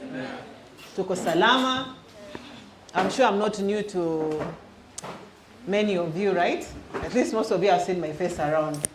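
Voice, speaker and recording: A woman's voice: medium pitch, a little slow, long pauses. Kenyan woman teaching youth in an echoey hall. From Western Kenya. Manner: engaging, quizzes the audience, mixes in Swahili. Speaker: youth minister. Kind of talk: interactive teaching to a youth group. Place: church hall. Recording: echoey hall with faint noise.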